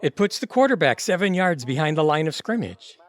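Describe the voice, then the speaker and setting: A man's voice: on the high side, big pitch swings, brisk. A man playing a hapless, average middle-aged bachelor in a comedic audio play. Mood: awkward, put-upon.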